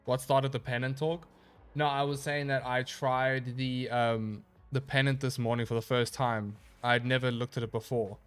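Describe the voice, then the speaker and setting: A man streaming a video game live, chatting casually and answering his chat in a relaxed, rambling way, sounding a little stuffy and nasal. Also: mid-range voice, normal speed, clear, resonant tone, faint music behind. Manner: laid-back, rambling, reads chat aloud and answers.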